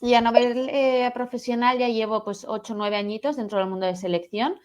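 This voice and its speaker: A woman's voice: fairly high pitch, fairly even intonation, fairly quick. A woman in her thirties from Barcelona, a recruiter introducing herself fluently on an online panel and mixing in English terms. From Spain, Barcelona. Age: 30s. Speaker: talent acquisition manager, guest.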